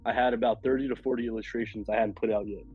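A man with a raspy, hoarse voice from a cold, rambling casually as a podcast guest with many fillers. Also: on the low side, fairly even intonation, racing, phone-quality sound, soft background music. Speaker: podcast guest, food illustrator.

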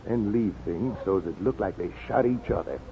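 An actor playing a vengeful, scheming gunslinger in a 1950s radio western, gruff, menacing and commanding. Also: male voice, lowish voice, a little fast, natural voice. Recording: dull, hissy 1950s radio recording.

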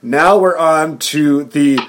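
Guy hosting a podcast, excitable, laughs a lot, trips over his words. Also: a little high, slow, a little hiss or hum. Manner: excitable, laughs often, stammers and restarts. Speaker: podcast host. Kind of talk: casual chat.

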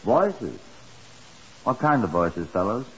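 Deep, husky police inspector. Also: male voice, big pitch swings, takes it slowly, full character voice. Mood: probing. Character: shrewd, courteous Scotland Yard chief inspector telling his case. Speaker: police detective and narrator. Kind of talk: 1950s radio crime drama acting. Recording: muffled 1950s radio broadcast.

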